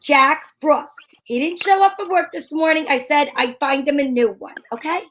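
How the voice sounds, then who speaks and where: A woman's voice: high voice, moderate pace, a bit bright, a little hiss or hum. A woman playing a chatty, wisecracking gal in old-time radio comedy, with a put-on accent. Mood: wisecracking, breezy. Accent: put-on character accent. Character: Candy, chatty wisecracking woman, friend of a photographer.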